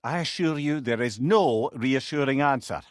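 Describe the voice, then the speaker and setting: A man's voice: mid-range voice, moderate pace, no echo, studio quality, natural voice. An older man with a Scottish accent playing a wry, eloquent old companion in a video game. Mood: wry, conversational. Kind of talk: video game character dialogue.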